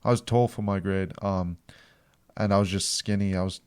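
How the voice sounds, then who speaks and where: A man's voice: low voice, fairly even intonation, normal speed, darkish tone, clean tone, dry recording. Hesitant young man. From South Africa. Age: young adult. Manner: hesitant, many ums and restarts. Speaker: guest. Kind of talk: casual podcast chat.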